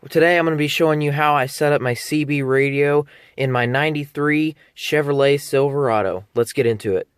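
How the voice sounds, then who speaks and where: A man's voice: a little high, fairly quick, smooth, no echo. Casual guy giving a dry-sounding DIY walkthrough. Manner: plain-spoken, informal step-by-step explaining. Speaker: vlogger presenting a DIY tutorial. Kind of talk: casual how-to narration.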